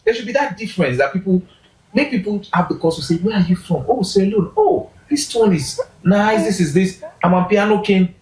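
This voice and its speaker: A man with a somewhat high voice, speaking as an interview guest in a roomy, echoey space. He talks in an animated way, jumping up in pitch to stress points and often restarting his sentences. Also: brisk. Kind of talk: casual interview talk. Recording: roomy echo.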